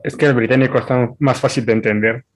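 A man's voice: medium pitch, steady tone, fast. A young man chatting casually, talkative and explaining with examples. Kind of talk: casual chat among friends.

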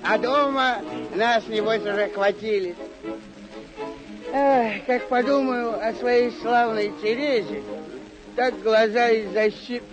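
A simple peasant squire with a strikingly high voice. He is earthy, jovial and homely in the way he talks, as in vintage radio theatre. Loud music plays with him. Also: slow pace, lo-fi sound. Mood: wistful, homesick. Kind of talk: vintage radio play acting. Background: prominent music.

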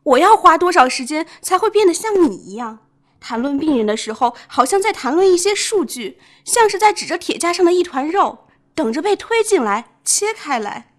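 A woman's voice: extremely high pitch, very animated, moderate pace. A woman playing an earnest, troubled young woman doctor in an amateur radio drama. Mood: accusing.

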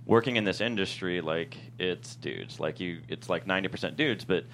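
A man's voice: deep voice, moderate pace, fairly bright tone. A man speaking as a screenwriter in a casual, reflective interview about his work. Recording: faint hum and background noise.

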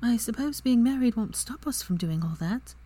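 A woman voicing a bright, eager young witch in a higher, lively voice that often drops to a hushed whisper. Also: moderate pace, slight background noise, natural voice. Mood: affectionate.

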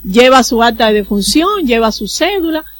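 A woman's voice: fairly high pitch, very animated, normal speed, a bit bright. Earnest Dominican woman explaining procedures. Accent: Dominican Spanish. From Dominican Republic. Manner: earnest, explanatory, uses everyday examples. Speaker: consular official. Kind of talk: radio interview guest, explaining.

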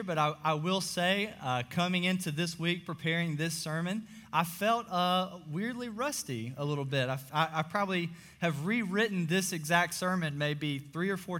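A young man, a pastor, preaching a sermon in a church auditorium, his voice slightly hoarse, earnest and full of "uh" fillers. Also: high-pitched, normal speed, sharp tone. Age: young adult. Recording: long reverb of a large hall.

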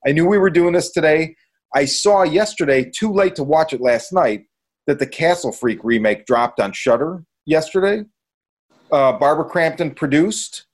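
Guy with a slightly high voice on a podcast, super into movies, swears a lot. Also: expressive, moderate pace. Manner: enthusiastic, heavy casual swearing. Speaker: horror podcast co-host. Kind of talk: casual movie review chat.

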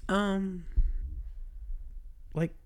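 A man's voice: sits high, level tone, extremely slow, clear, resonant tone. A young man from Romania co-hosting a podcast in casual chat, laid-back and often searching for words. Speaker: podcast co-host.

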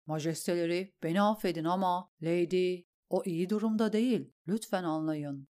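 A woman's voice: fairly low pitch, normal speed, mellow, lightly acted. A woman voicing a nervous male palace guard who speaks apologetically and hesitantly. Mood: apologetic.

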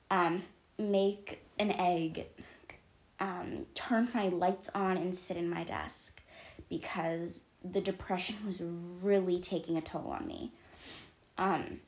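A woman's voice: fairly low pitch, a little mellow. Sniffly teen girl chatting to camera. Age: late teens. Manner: chatty, rambling, lots of ums and likes. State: sniffly from allergies. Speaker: vlogger. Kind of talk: confessional casual vlog.

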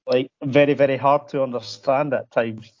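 A man's voice: mid-range voice, steady tone, normal speed, darkish tone. A man asks long, winding interview questions, stumbling over words and restarting often in a casual, self-deprecating way. The recording sounds somewhat dull and lo-fi. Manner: rambling, stammering, self-deprecating.

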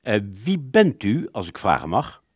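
An actor in a radio play, playing the aggrieved, wordy ghost of an old-time film director, theatrical and indignant. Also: male voice, medium pitch, huge pitch swings, measured pace, slightly rough, dry recording, studio quality, exaggerated voice.

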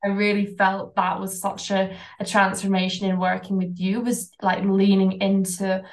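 A woman's voice: mid-range voice, completely flat delivery, normal speed. A Scottish woman who is a guest on a podcast, talking reflectively about her coaching experience. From Scotland. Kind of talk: casual podcast chat.

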